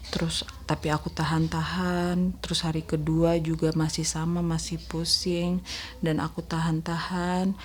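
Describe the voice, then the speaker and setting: A woman with a congested, nasal voice, gently narrating a casual voice-over vlog about her day to her viewers. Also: low voice, slight background noise. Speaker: homemaker vlogger narrating own daily routine. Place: voice-over recorded separately at home.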